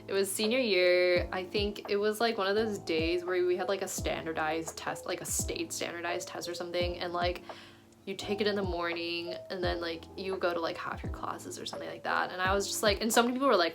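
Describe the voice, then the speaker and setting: A woman's voice: on the low side, gravelly. A woman in her twenties chatting casually to camera as a vlogger, filling her sentences with "like" and small laughs, raised speaking Chinese at home. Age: 20s. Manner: chatty, heavy use of "like", giggly asides. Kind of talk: casual chat.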